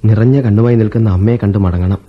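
A man's voice: lowish voice, fairly even intonation, fast, natural voice. A young man playing Anu, a troubled college student worried about his mother, in a radio play. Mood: earnest. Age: young adult. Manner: earnest, melancholy, reflective. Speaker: radio drama actor. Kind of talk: 1980s Indian radio play acting. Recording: dull old radio recording with faint music.

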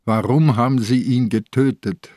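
An actor playing a sick, weary old police commissioner in a radio crime drama, speaking quietly and tersely with defiance. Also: male voice, medium pitch, fairly even intonation, moderate pace, no room sound, studio quality, natural voice. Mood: tense questioning. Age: older.

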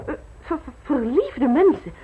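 A woman's voice: sits high, big pitch swings, unhurried, narrow, tinny audio. An actress playing a genteel eighteen-year-old society girl in an old radio play, prim and easily flustered. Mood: flustered, indignant. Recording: old radio recording with clear noise.

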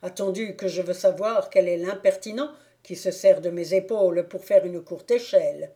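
A woman's voice: lowish voice, moderate pace, dark tone, lightly acted. A woman voicing a drunken young clog-maker who is touchy, quarrelsome and boastful. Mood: indignant.

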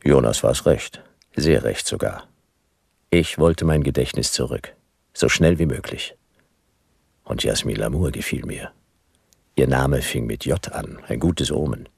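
A man's voice: rumbling low, measured pace, pauses often, darkish tone, no room sound, clean studio sound, exaggerated voice. A man playing a world-weary private detective in a noir radio drama, narrating in clipped, laconic, wry phrases. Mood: wry narration. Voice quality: gravelly.

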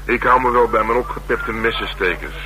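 A man's voice: mid-range voice, fairly quick, bright, forceful tone, lightly acted, low-quality recording. An actor playing a world-weary, teasing male police detective in a radio crime drama, with a Netherlands Dutch accent and a dry, needling manner. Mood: weary, matter-of-fact. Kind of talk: naturalistic radio drama dialogue. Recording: hissy, humming old radio recording.

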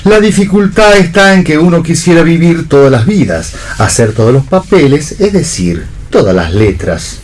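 A man's voice: fairly high pitch, moderate pace, few pauses. A man acting a knowing, wry theatre prompter in a radio play, with theatrical delivery. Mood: wry, musing. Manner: theatrical, animated.

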